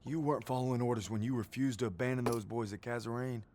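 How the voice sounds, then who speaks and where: An actor playing an earnest, loyal young army private who talks plainly and steadily, in a video game cutscene. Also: male voice, medium pitch, fast, noisy background, natural voice. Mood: determined. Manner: plain, steady, determined delivery.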